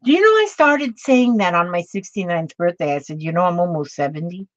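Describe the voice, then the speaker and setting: A woman's voice: fairly low pitch, animated, brisk, no echo. Chatty woman in her late sixties. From Minnesota. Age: 60s. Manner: chatty and rambling, with many fillers and restarts. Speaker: crafting video host. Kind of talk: casual chat with live viewers while crafting. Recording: dull, slightly lo-fi sound.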